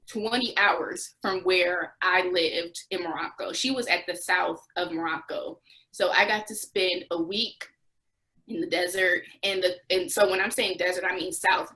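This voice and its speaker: Expressive young American woman telling stories. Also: on the low side, low-quality recording. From United States. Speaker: interview guest, former Peace Corps volunteer. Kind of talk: conversational interview storytelling.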